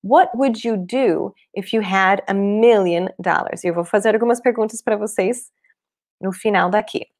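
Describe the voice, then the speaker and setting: A woman in her thirties, a native English speaker with a foreign accent in Portuguese, teaching an online language lesson in a clear, deliberate way. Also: mid-range voice, darkish tone. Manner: clear, deliberate explaining with repeated examples. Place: small room indoors, at a desk.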